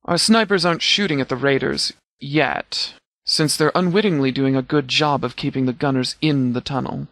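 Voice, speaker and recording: An actor playing a stern, composed sheriff of a post-apocalyptic militia in a video game, speaking in a measured, formal, authoritative way with clipped orders. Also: male voice, mid-range voice, gravelly, fairly bright tone, no echo. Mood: commanding, grave. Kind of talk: scripted video game dialogue.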